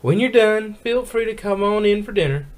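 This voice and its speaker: Irritable cartoon farm mare played by a man, raised pitch, very lively swings, folksy scolding for a fan story. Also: moderate pace. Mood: stern. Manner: exasperated, folksy rural phrasing.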